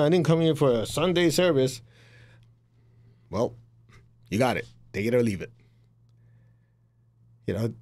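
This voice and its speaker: A middle-aged spiritual teacher with a Chinese accent talks to his students plainly and bluntly. His voice sits in an ordinary middle pitch. He acts out the people he quotes and laughs at his own asides. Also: darkish tone. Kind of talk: motivational spiritual talk.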